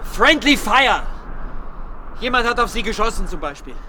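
An actor playing an insistent military investigator in a radio drama, asking formal, probing questions with urgency. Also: male voice, high-pitched, big pitch swings, normal speed, pushed and bright, slight background noise, exaggerated voice. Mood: confrontational. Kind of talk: naturalistic German radio drama acting.

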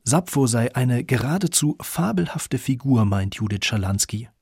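A man narrates a scripted radio feature in a mid-pitched voice with a lot of gravel in it. The sound is very dry and close, with a husky grain to the words. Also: lively intonation, moderate pace, a little mellow, no room sound.

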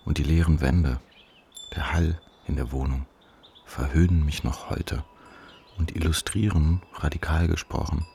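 A man playing the grave, regretful inner voice of a narrator in a literary radio play, sombre and deliberate. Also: rumbling low, fairly even intonation, gravelly, warm tone, slight background noise, exaggerated voice.

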